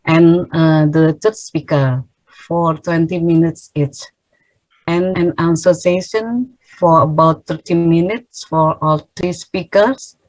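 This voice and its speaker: A woman's voice: low-pitched, a little mellow, no echo, poor audio. A woman moderating a webinar over a video call, introducing a speaker hesitantly with many fillers. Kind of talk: formal introduction.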